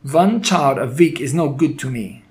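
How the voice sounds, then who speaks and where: A man voicing a cruel, furious female Grand High Witch in a children's audiobook, with an imperious, drawn-out, menacing delivery. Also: lively intonation, a little mellow, lightly acted. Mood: screaming, furious. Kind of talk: dramatic children's audiobook character voice.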